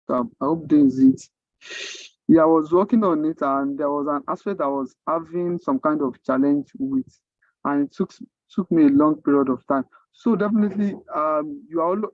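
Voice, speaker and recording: A man with a Nigerian accent and Yoruba as his native language, mentoring a class in an informal, halting way, repeating himself and asking rhetorical questions. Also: on the high side, no echo, phone-quality sound. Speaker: coding mentor. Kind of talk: informal online teaching.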